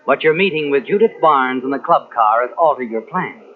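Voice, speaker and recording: An actor playing a knowing, insinuating narrator in a 1940s radio suspense drama, speaking dramatically as if taunting the guilty hero. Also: male voice, medium pitch, expressive, a little fast, faint music behind, close to an everyday voice. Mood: suspenseful. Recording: tinny old radio recording from cassette.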